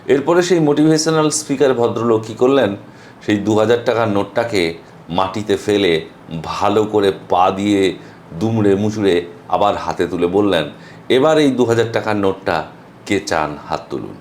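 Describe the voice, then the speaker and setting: A man with an Indian Bengali accent delivering a motivational monologue as a storyteller, earnest and emphatic, with dramatic pauses and some English phrases mixed in. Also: lowish voice, a little mellow, a little hiss or hum. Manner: mixes English phrases into speech. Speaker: motivational vlogger.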